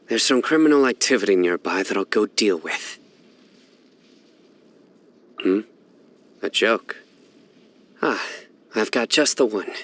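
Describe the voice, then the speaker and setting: An actor playing a solemn, stoic young desert enforcer of justice in a video game, speaking in a measured, deadpan way. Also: male voice, on the low side. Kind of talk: scripted game character voice lines.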